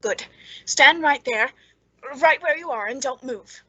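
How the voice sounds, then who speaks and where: A woman's voice: sits high, unhurried, bright, forceful tone, no echo, muffled recording. A woman playing a cold, gleeful murderess who narrates and speaks in a theatrical radio suspense drama. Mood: coaxing, menacing.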